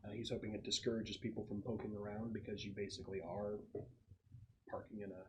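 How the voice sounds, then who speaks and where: A man's voice: fairly low pitch, level tone, fast, noisy background. A man acting as game master, casually explaining and narrating a tabletop roleplaying game among friends. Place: casual group gaming session.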